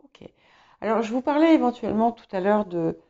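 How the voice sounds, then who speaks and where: Female tarot reader, English mother tongue, mellow creaky delivery, halting and reassuring. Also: medium pitch, animated. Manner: hesitant, searching for words, reassuring. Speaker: tarot reader hosting guidance videos. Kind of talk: informal intuitive tarot reading to viewers. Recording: slightly dull, muffled sound.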